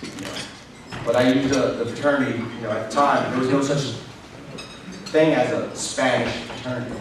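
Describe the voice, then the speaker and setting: A man's voice: medium pitch, steady tone, moderate pace. Man, middle-aged, rambling keynote speaker; distant, roomy hall sound with noise underneath. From New York, United States. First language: English. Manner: rambling, with frequent "you know" fillers. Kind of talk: conversational keynote speech. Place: large convention hall. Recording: echoey hall from far off.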